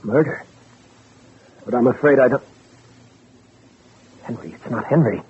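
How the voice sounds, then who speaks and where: Guilty man playing innocent, very husky, hesitant, vintage radio sound. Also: medium pitch, unhurried, darkish tone. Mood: startled, guarded. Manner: hesitant, guarded, placating. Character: smooth-talking guilty man feigning loyal innocence. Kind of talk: 1940s radio drama acting. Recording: old radio recording, narrow band.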